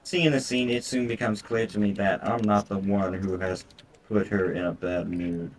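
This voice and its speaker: A man's voice: on the low side, fairly even intonation, normal speed, slight background noise. A man doing casual let's-play commentary as a game streamer, reading visual novel text aloud with foul-mouthed, irreverent asides. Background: game music.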